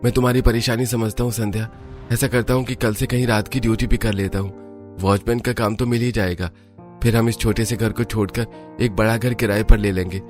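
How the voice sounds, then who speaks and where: Hard-working family man played by a male actor for a cartoon, low, sincere, reassuring. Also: fairly even intonation, brisk, faint music behind, natural voice. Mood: caring, reassuring. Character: hard-working, dutiful adult son and husband.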